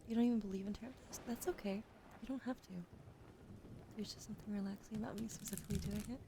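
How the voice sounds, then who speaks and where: A woman talking low and soft on a live stream, slipping in and out of unvoiced whispers as she shuffles cards and reads them out. Her pitch sits at an ordinary middle height. Clear background noise hums under the whole recording. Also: fairly even intonation, fast talker. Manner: soft, often whispering, greets chat. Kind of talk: ASMR tarot reading on a live stream.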